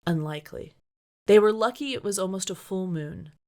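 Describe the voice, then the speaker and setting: A woman's voice: mid-range voice, animated, moderate pace, a little mellow. A woman author reading a novel aloud as dramatic scripted narration. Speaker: author reading own book.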